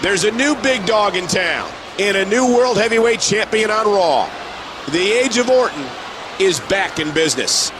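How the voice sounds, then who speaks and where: A man playing an excitable play-by-play wrestling announcer in a video game, with a dramatic, emphatic delivery. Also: high voice, normal speed, noisy background. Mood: excited. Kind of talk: excited televised sports commentary. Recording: loud music under the voice.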